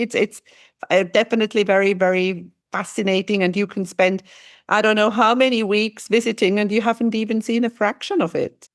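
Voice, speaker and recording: A woman hosting a travel podcast interview, speaking in a halting way with frequent restarts. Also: mid-range voice, no echo, clean studio sound. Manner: halting, restarts phrases often. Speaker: podcast host. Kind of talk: conversational interview.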